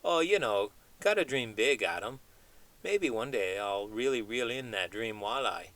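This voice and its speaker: A man's voice: medium pitch, expressive, unhurried, fairly bright tone. Man voicing a folksy, chatty chimpanzee sidekick. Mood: dreamy, jokey. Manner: folksy, drawling slangy grammar with "them" and "ain't". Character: folksy male chimpanzee sidekick from Minnesota, chatty big dreamer. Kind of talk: comic puppet-style banter in a children's read-aloud.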